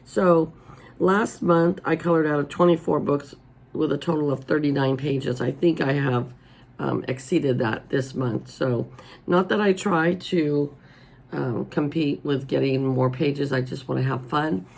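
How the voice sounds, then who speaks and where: A raspy-voiced middle-aged woman hosting a casual show-and-tell, chatty and homey, filmed at her table at home. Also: deep voice, a little slow, darkish tone. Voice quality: raspy today. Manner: chatty and homey, many ums. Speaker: coloring channel host. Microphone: overhead camera over the table.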